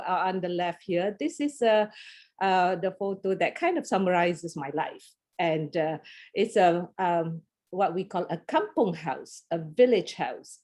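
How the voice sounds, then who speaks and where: A woman's voice: lowish voice, darkish tone. Malaysian woman presenting on a video call. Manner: friendly, many fillers and restarts. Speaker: guest presenter.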